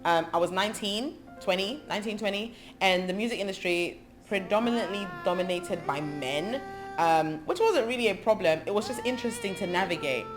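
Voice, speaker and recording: A woman's voice: medium pitch, lively intonation, brisk. A woman in her thirties from England, a gospel singer, talking thoughtfully about her life in an interview, listing things with frequent ums. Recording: music playing under her voice. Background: background music.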